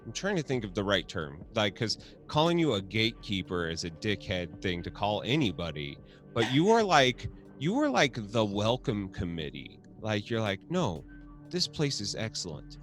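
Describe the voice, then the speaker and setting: A man's voice: fairly low pitch, sing-song, normal speed, faint music behind. A man hosting a casual video chat, laid-back and laughing often, swearing now and then. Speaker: host.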